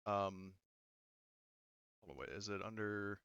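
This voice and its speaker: A man with a crisp, forceful voice runs a tabletop game in a casual, sweary way. He explains rules in an animated manner and laughs easily. The sound is dry and a little lo-fi. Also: lowish voice, slow, no room sound. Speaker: game master.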